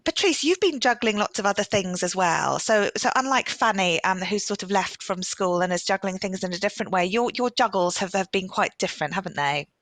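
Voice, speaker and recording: A woman's voice: medium pitch, animated, a little fast, hardly any pauses, fairly bright tone, dry recording. Woman host, upbeat and encouraging, dry close recording. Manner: encouraging, upbeat, reads viewer comments and poll results aloud. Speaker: host of a live student webcast. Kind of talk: warm, chatty live-stream hosting.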